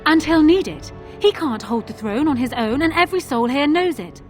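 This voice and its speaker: A woman's voice: sits high, lively intonation, moderate pace, faint music behind. A woman voicing a proud, shrewd fantasy queen in a video game, speaking formally with regal assurance. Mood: scornful certainty.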